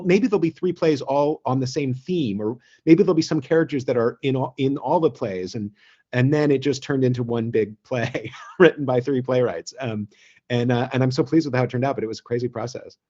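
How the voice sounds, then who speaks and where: A man hosting an online theatre gathering, speaking informally and haltingly, pausing often as he thinks aloud. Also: medium pitch, darkish tone. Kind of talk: informal speech and toast. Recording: dull, lo-fi video call audio.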